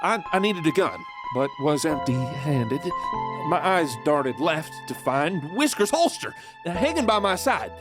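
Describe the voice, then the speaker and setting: A man's voice: on the high side, big pitch swings, a little slow, music in the background. An actor playing a cocky, fun-loving male outlaw in a western audio drama, showboating and theatrical. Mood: panicked. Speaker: outlaw narrator and lead character.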